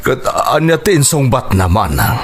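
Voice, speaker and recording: A man's voice: mid-range voice, sing-song, moderate pace, breathy edge. An actor playing a worried, doting middle-aged father in a radio drama, with a Filipino accent. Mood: troubled. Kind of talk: Ilocano radio drama acting.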